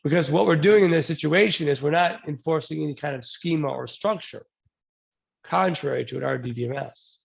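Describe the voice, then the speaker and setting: Gravelly man presenting with a cold. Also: on the high side, a little fast, highs cut off. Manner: relaxed, joking, many ums and restarts. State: getting over a cold. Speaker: presenter at a user group talk. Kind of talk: casual technical presentation. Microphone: new desk mic, then a headset.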